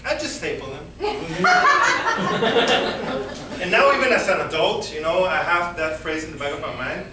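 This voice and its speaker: A young Venezuelan man with a Spanish accent works a comedy crowd, self-deprecating and quick to laugh at his own lines. His voice sits high for a man. It comes from far off in a very echoey club, over heavy room noise. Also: sing-song. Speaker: stand-up comedian. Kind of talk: stand-up comedy set.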